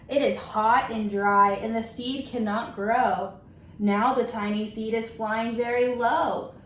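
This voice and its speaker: Woman kindergarten teacher reading a kids story, slow, kinda high voice, echoey room. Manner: simple, instructive, addressing young children. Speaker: kindergarten teacher reading a picture book aloud. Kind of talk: children's story read-aloud with questions to listeners. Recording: echoey room with faint background noise.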